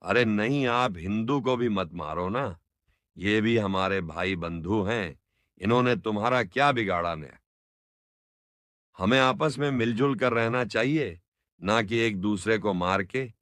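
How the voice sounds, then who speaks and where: A man's voice: fairly low pitch, unhurried, a bit bright, no echo. A man playing an earnest, imploring captive who wants peace, in a cartoon story. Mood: earnest appeal. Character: pleading, peace-minded family man held captive. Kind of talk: cartoon moral story dialogue.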